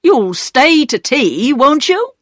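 Woman reading proud poor working man, loud and slow, dry close sound. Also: sits high, big pitch swings, dry recording, pitched above the performer's own voice, full character voice. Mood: warm.

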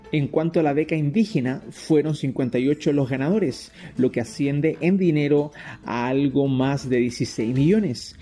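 A man's voice: fairly high pitch, warm tone. A man with a Chilean accent reading scripted municipal news.

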